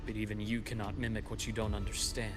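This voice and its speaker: An actor playing a calm, shrewd, quietly commanding doctor and teacher in a video game, speaking in a measured, deliberate way. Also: male voice, fairly low pitch, over loud music, lightly acted. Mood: knowing, stern.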